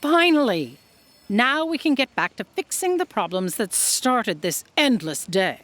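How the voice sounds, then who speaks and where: A woman's voice: on the high side, sing-song, a little slow, a bit bright. A woman playing an imperious, impatient boss in a comic sci-fi audio drama, bossy, curt and dismissive. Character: imperious, impatient female editorial boss of destiny bureau.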